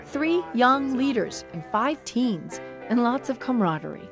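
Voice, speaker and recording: A woman's voice: a little high, very animated, measured pace. American newswoman narrating over music. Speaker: TV news reporter. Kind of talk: scripted news feature narration.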